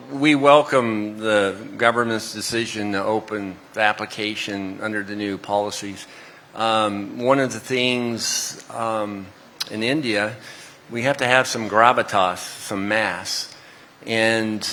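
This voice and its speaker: A man with a Texan accent answers a panel question in a loose, conversational way, with many fillers. His voice is bright, and faint noise sits behind him. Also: on the low side. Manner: hesitant, conversational. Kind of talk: panel discussion.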